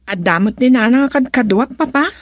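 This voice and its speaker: Distressed daughter, played by a woman: radio drama, high voice, pleading, dry sound. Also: expressive, fairly quick, no echo, natural voice. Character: distressed, pleading grown daughter of a stern father.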